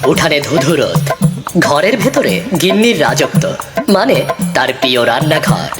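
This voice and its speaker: A woman's voice: low-pitched, big pitch swings, normal speed. A woman narrating a scripted comic cartoon story, with music behind the voice.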